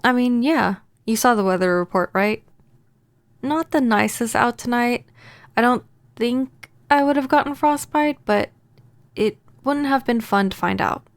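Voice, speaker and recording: A woman playing a gentle, apologetic vampire neighbor in a soft-spoken, hesitant ASMR audio roleplay. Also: on the high side, measured pace, dry recording. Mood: relieved, grateful. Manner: hesitant, stammering, earnest.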